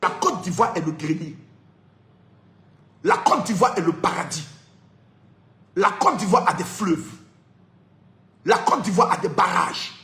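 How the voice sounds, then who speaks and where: A man giving an animated political talk, sing-song and laughing as he speaks. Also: high-pitched, unhurried, pauses often, roomy sound.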